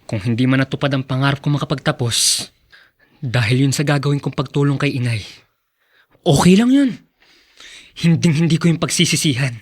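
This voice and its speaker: An actor playing an earnest, self-sacrificing young working son in a radio drama. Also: male voice, mid-range voice, animated, fairly quick, pauses often, slightly rough. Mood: earnest, determined. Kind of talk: Filipino radio drama acting.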